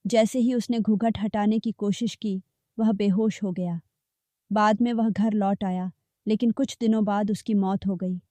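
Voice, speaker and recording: Mellow woman narrating a spooky story. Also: medium pitch, no room sound. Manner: steady, measured storytelling. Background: faint background music.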